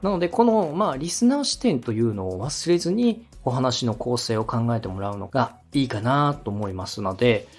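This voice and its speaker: A man's voice: medium pitch, very animated, moderate pace, hardly any pauses, darkish tone, slightly rough. A man speaking as a podcast host and director, giving polite, step-by-step feedback and acting out short examples as he explains. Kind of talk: structured coaching talk on a podcast.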